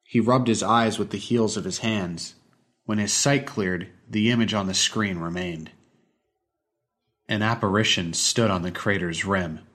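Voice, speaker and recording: A man's voice: sits low, fairly bright tone. A man reading a scripted short story as an audiobook narrator.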